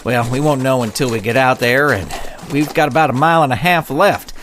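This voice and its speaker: A man's voice: medium pitch, brisk, fairly bright tone, slight background noise, lightly acted. A man playing a good-natured, skeptical western lawman fish in a comic audio drama, with folksy, hesitant phrasing. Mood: cautious.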